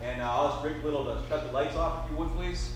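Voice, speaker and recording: A man leading a church service, hesitant and full of "uh"s, his voice a little high for a man. He is picked up from across a big, echoing sanctuary, with a hum of room noise. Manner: halting, full of "uh" fillers. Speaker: pastor. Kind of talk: church announcement and sermon intro. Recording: distant mic in an echoey church hall.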